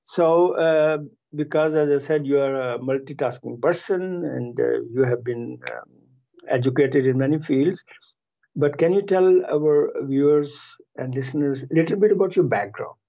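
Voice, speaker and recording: A man hosts an interview in a halting, chatty way, full of fillers. His voice sits a little high but sounds dark and mellow. The recording is dull and lo-fi. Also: creaky. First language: Urdu. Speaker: interview host. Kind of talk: radio and TV interview.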